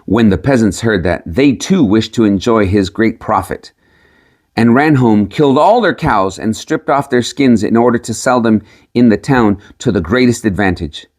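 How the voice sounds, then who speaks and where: A man's voice: lowish voice, moderate pace, a little mellow. A man reading a storybook tale aloud as scripted narration. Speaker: audiobook reader.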